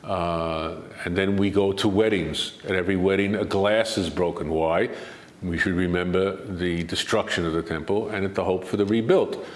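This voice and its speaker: An older man as a guest expert in an interview, answering in a measured, argumentative way that builds to emphatic points. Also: deep voice, fairly even intonation, reverberant.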